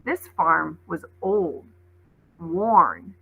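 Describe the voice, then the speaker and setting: A woman reading a children's novel aloud as an expressive storyteller, like a teacher reading to a class. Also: lowish voice, drawn-out words, vocal fry, slightly rough, phone-quality sound.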